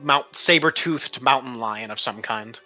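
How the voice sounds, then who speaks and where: A man's voice: medium pitch, a little slow, pushed and bright. A man playing a tabletop roleplaying game, switching between casual table talk and speaking as his character. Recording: muffled lo-fi audio under faint music. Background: music.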